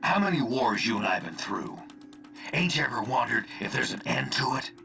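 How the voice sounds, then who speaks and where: Gravelly, sharp veteran spymaster villain. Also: male voice, medium pitch, lively intonation, normal speed, soft background music. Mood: persuasive. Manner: colloquial, coolly persuasive, menacing. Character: gruff veteran male spymaster turned persuasive villain. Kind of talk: dramatic video game cutscene acting.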